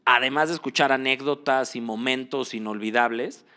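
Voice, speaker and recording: Relaxed Mexican man hosting a chat. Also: medium pitch, normal speed, clean tone. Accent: Mexican Spanish. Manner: relaxed, frequent fillers and restarts. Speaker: host. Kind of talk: casual interview chat.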